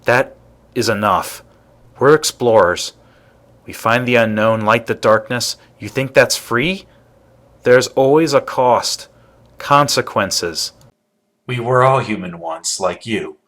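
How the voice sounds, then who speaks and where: An actor playing a solemn, purposeful spacefarer in a video game, speaking gravely in deliberate, weighty phrases. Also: male voice, medium pitch, a bit bright. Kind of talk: video game voice acting, dramatic dialogue.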